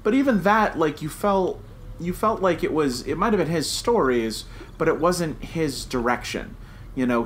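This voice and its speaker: Enthusiastic man chatting, background noise. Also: mid-range voice. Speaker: podcast co-host. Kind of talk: casual podcast review chat.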